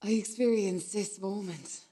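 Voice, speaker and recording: Shaken woman acted, medium pitch, intense halting monologue, keeps repeating stuff, radio play. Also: dark tone, lightly acted. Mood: agitated. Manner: halting, obsessively repetitive. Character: shaken, obsessive witness recounting violent footage.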